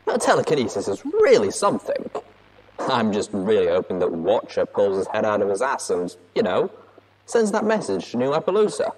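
A man playing a cheeky, brash radio DJ with lively patter. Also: lowish voice, moderate pace, low-quality recording. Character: loud, cheeky, foul-mouthed radio DJ.

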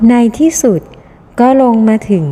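A woman's voice: a little slow, mellow. A woman reading a scripted children's story in simple phrasing for small children, in a reverberant recording. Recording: long reverberant hall echo.

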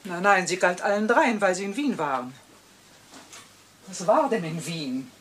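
Bitter, suspicious wife, swinging pitch. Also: female voice, animated, a little slow, a bit bright, a little hiss or hum. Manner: pointed, sarcastic questioning. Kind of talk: German crime radio play acting.

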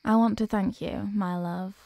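A tender, ancient vampire girlfriend voiced by a woman in an ASMR roleplay. Her voice is mellow, soft and mid-pitched, with formal, caressing phrasing. She is soothing and protective, recorded close and dry. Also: fairly even intonation, moderate pace, vocal fry, no echo, natural voice. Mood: grateful, loving. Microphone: close microphone.

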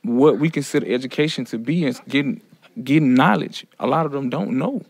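A man's voice: mid-range voice, a little fast, husky, no echo. A man from Alabama explaining his views in a conversational group discussion. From Birmingham, Alabama. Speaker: prison education student.

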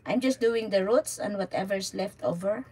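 A woman's voice: medium pitch, normal speed, a little mellow, slightly rough. Giggly Filipino woman live streaming. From Philippines. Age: 40s. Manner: giggly, chatty, mixing in Tagalog words. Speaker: channel host. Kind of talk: casual live stream chat. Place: indoors in a small RV. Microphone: phone at arm's length.

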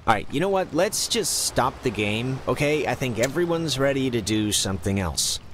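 A man's voice: medium pitch, expressive, normal speed, fairly bright tone, slight background noise, natural voice. A man playing a reasonable, slightly awkward teenage boy in a video game, hesitant, dry and plain-spoken. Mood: firm. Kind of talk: naturalistic video game voice acting.

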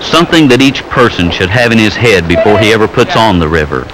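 A man reading scripted narration for a training film in a measured, earnest way. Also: fairly low pitch, animated. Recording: noisy old 16mm film soundtrack.

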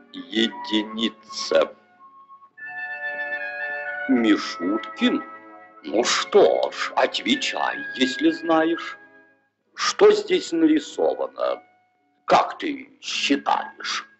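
A man's voice: medium pitch, wildly swooping pitch, very slow, vocal fry, long pauses, heavily acted. A man playing a pompous bear schoolteacher in a 1940s cartoon, reciting rhymed lessons in a theatrical, declamatory way. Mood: stern, questioning. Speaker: teacher. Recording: dull old film soundtrack with music.

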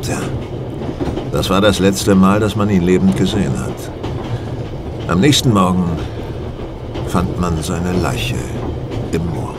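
A man's voice: low-pitched, takes it slowly, long pauses. An actor playing a shrewd, self-assured male detective, explaining precisely with dry superiority in a radio play. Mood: dry, skeptical. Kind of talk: German radio play dialogue. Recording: loud train and vehicle noise behind.

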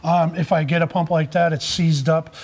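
A man's voice: a little high, level tone, moderate pace, vocal fry, darkish tone. A middle-aged man with a chatty, repetitive way of talking, hosting a casual on-camera conversation in a workshop. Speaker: vlog host, car enthusiast. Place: indoor auto rebuilding workshop.

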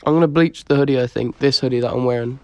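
A man's voice: mid-range voice, fast talker, no room sound, dull audio. A young man with a Dublin Irish accent chatting casually on a livestream, answering comments in a laid-back, slangy way. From Dublin, Ireland. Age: young adult. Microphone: phone held close to the face.

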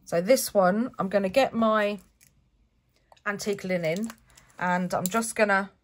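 A woman, stuffy from a cold, chatting her way step by step through a relaxed craft tutorial at a home desk. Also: medium pitch, a little slow. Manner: chatty, self-correcting step-by-step explaining. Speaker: craft channel host.

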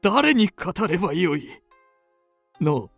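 An aging kabuki star, ardent and scheming, in a period radio play. He draws out his theatrical, archaic lines slowly with a creaky grain. The voice is recorded dry and close. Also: high voice, big pitch swings, no room sound, faint music behind, exaggerated voice. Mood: pleading, burning. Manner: theatrical, archaic stage Japanese phrasing. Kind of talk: period radio drama acting.

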